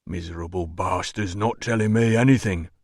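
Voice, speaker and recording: A man voicing a burly veteran doorman in an audiobook, gruff, mocking and quietly menacing. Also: deep voice, moderate pace, dry recording, clean studio sound, voice pushed down. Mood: sardonic, curt. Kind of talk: audiobook character voice.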